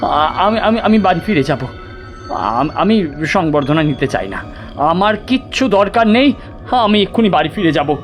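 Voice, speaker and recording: A man's voice: sits high, animated, moderate pace, faint music behind. A man playing a frightened, guilty writer in a horror audio drama, hesitant and stammering as he speaks. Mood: panicked, pleading. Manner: stammering, hesitant, breaking off words. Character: frightened, guilty famous male writer, evasive and pleading.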